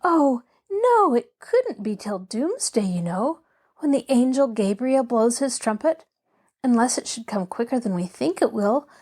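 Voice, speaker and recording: A woman's voice: a little high, very animated, a little slow, a little mellow. A woman voicing a cheerful, earnest little girl in a storybook, chattering on in an eager, artless, rambling way. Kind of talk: children's storybook character voice.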